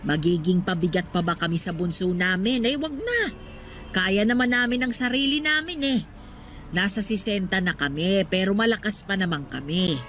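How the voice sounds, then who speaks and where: A woman's voice: medium pitch, lively intonation, normal speed, a bit bright, faint music behind, lightly acted. A woman in a Filipino radio drama playing a talkative, chiding but proud street vendor aged sixty. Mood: resigned, proud. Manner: chatty, chiding.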